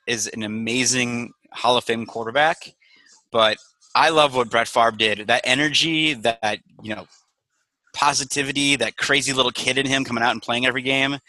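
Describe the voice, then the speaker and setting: A man's voice: medium pitch, sharp tone, no room sound, poor audio. A man from Wisconsin who owns a brewery, talking candidly and energetically on a video call, swearing now and then. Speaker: brewery owner. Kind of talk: casual video call chat.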